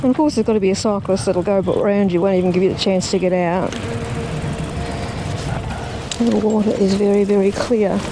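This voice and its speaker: A woman's voice: mid-range voice, steady tone, quick pace, noisy background. A woman presenting a vlog in a casual, explanatory way, talking plainly and step by step. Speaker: presenter of a recumbent trike vlog. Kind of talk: casual explanatory demonstration and chat. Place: outdoors.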